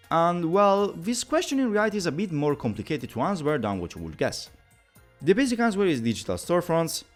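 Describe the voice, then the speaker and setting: A man giving a scripted, lecture-style explainer as a video presenter, with wry, teacherly asides. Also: medium pitch.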